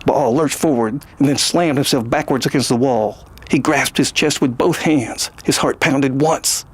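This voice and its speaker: A man reading scripted fiction as the narrator, in a gravelly, husky voice. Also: mid-range voice, a little slow.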